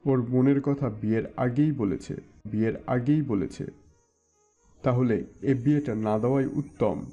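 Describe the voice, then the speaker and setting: A man playing an apologetic, resigned father of a bride, husky and subdued, in an audio drama. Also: medium pitch, unhurried, mellow. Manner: subdued, conciliatory. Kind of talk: audio story acting. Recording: dull muffled recording, music behind.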